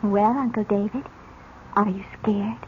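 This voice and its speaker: An actress in a 1970s radio mystery playing a sly teenage psychic girl, with a breathy, theatrical delivery. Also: mid-range voice, steady tone, a little slow, noisy background, close to an everyday voice. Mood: sly, taunting. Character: sly teenage girl psychic, taunting, feigning eerie trances. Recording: tinny old radio recording with music.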